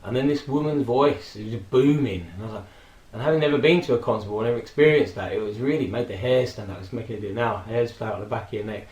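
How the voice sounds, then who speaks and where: A man in his forties, hoarse from a throat infection, casually rambling and reminiscing with frequent fillers and laughs. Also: mid-range voice, fast talker, hardly any pauses, darkish tone, roomy sound, low-quality recording. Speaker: vlogger. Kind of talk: casual personal tribute monologue.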